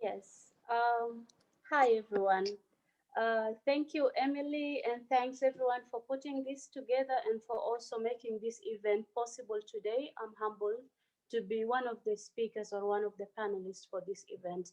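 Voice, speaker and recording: Woman, slightly high voice. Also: fairly even intonation, normal speed, a little mellow, highs cut off. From South Sudan. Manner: earnest, frequent fillers. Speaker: panelist. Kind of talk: online panel presentation. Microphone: phone.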